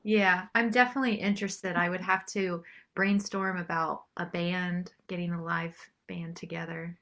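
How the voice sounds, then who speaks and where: A woman being interviewed as a singer-songwriter, chatting casually and politely in a slightly tentative, creaky way. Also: lowish voice, fairly even intonation, moderate pace, bright, forceful tone. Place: small home studio.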